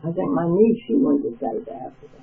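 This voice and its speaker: A man's voice: fairly high pitch, lively intonation, brisk, vocal fry. An older man reminiscing in a rambling, casual way, interviewed over the phone. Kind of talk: casual oral-history chat by phone. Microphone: telephone. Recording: tinny phone line.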